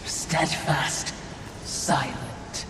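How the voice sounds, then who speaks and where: An actress voicing a sly, fawning demonic servant in a video game, with formal, obsequious speech. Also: female voice, a bass voice, extremely slow, noisy background, exaggerated voice. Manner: obsequious, formal, oily flattery. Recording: distant, echoey hall with background ambience.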